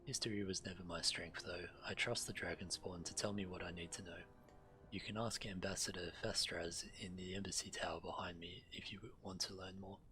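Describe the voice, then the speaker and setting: A man streaming a game, reading quest text aloud in a measured way, now and then stumbling and correcting himself. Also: low voice, flat delivery, pushed and bright. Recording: game music behind the voice. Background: video game music.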